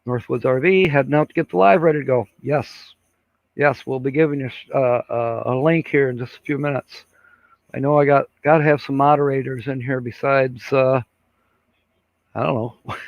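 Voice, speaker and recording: A man's voice: mid-range voice, steady tone, normal speed, no room sound. An older man hosting a casual live stream, rambling and chuckling, with many fillers as he reads out viewer comments. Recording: dull lo-fi sound.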